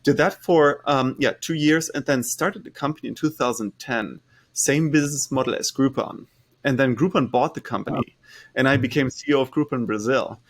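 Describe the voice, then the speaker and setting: A man from Germany with a German accent, answering interview questions as a startup founder, measured, with frequent fillers and restarts. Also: medium pitch.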